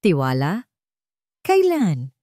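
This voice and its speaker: A woman's voice: on the low side, huge pitch swings, slow pace, mellow, no echo, studio quality, full character voice. A woman voicing a shy, wronged housemaid who pleads politely and in distress in an audio love story. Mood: hurt, disbelieving.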